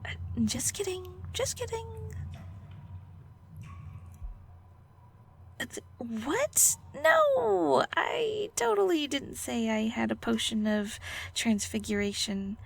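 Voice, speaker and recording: Woman acting a high-voiced, prickly, flustered alchemist girl. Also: very animated, vocal fry, exaggerated voice. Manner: flustered, halting, teasing, defensive. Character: prickly, guarded female alchemist, secretly caring and awkward. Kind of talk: audio roleplay acting, intimate one-sided dialogue.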